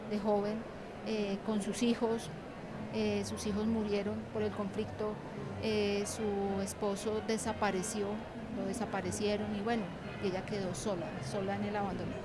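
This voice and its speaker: A woman answering interview questions as an author and teacher, reflective and halting, in short repeated phrases. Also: medium pitch, heavy background noise.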